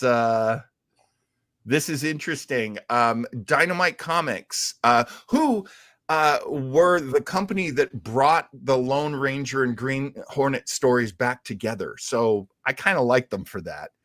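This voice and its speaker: A man hosting a show, explaining in casual talk with a halting, thinking-aloud delivery. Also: mid-range voice, animated, a bit bright, dry recording, studio quality. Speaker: show host. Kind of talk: casual explanatory talk about old radio heroes.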